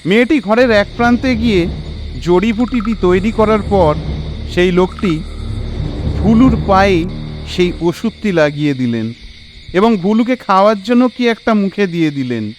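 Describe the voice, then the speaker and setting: A man narrating a scripted horror story in an expressive, suspenseful, dramatic way. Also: high-pitched, normal speed, long pauses, background noise.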